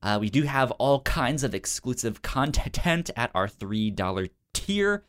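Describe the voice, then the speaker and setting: A man co-hosting a casual film review podcast, speaking informally and haltingly, with a frequent stutter that repeats sounds and syllables. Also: mid-range voice, expressive, a bit bright, clear, resonant tone. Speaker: podcast co-host.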